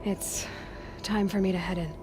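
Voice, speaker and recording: A woman's voice: mid-range voice, steady tone, normal speed, over music, close to an everyday voice. An actress playing a wary but determined young woman adventurer in a video game, plainspoken and a little hesitant. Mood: doubtful, resolute. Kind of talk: video game dramatic acting. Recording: loud ambient noise over voice.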